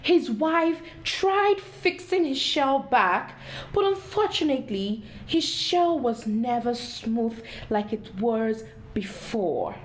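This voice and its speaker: A woman reading a story aloud to children in an expressive storytelling style, addressing young listeners directly. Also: on the high side, takes it slowly, poor audio.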